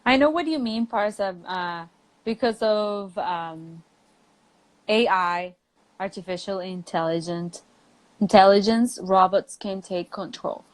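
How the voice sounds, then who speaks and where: A woman's voice: mid-range voice, slow pace, poor audio. A native Spanish-speaking woman with a Mexican accent, an English teacher chatting casually and thoughtfully as a guest on a live stream. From Mexico, near the US border.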